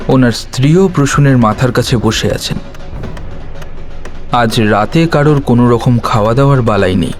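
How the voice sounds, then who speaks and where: A man narrating a scripted horror story in a dramatic, suspenseful way. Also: mid-range voice.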